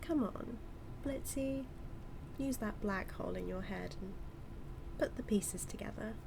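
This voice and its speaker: Woman playing a slow, teasing British supervillainess. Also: medium pitch, creaky, noisy background. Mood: exasperated, wry. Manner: mocking, theatrical, drawling with drawn-out words. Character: smug, teasing female supervillain toying with her hero nemesis. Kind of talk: scripted audio roleplay monologue.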